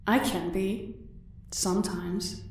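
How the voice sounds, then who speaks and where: A woman plays a loyal crime henchwoman in an audio drama. She speaks slowly and tersely, understated and deferential, her voice swimming in heavy room echo. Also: mid-range voice, steady tone, a little mellow, far from the mic. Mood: deferential, unhurried. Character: laconic female henchwoman torturer, loyal to her boss. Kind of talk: audio roleplay acting. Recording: very echoey room, away from the mic.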